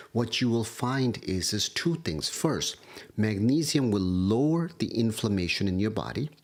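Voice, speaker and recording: A man, a doctor, giving a livestream health lecture, hoarse from a cold and walking through studies point by point. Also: on the low side. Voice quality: husky. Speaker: kidney doctor. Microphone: livestream setup.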